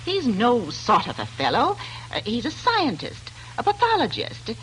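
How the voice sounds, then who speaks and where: Casting: talkative middle-aged aunt who runs lodgings, high pitch, wildly lively intonation, vintage radio play. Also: female voice, a little slow, a little hiss or hum, full character voice, poor audio. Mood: brisk, firm. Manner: deferential, fussy, talkative. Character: chatty, kindly middle-aged London landlady and aunt. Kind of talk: 1940s radio drama acting. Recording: old 1940s radio broadcast, music behind.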